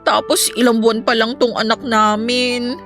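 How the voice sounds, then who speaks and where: A woman's voice: fairly high pitch, steady tone, moderate pace, fairly bright tone, soft background music. A woman playing a humble, desperate young mother and mistress in a Filipino radio drama, pleading politely. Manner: polite, deferential.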